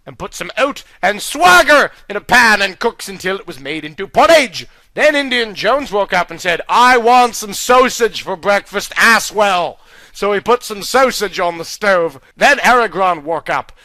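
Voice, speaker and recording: A man reading a silly comic story aloud in a deadpan, mock-naive way. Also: high-pitched, no echo.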